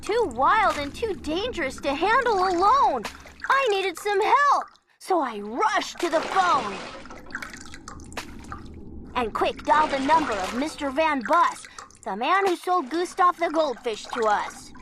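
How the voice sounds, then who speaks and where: A woman reading a children's picture book aloud in an expressive, theatrical storytelling manner, over background noise. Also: high voice, unhurried. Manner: theatrical, dramatic delivery for children.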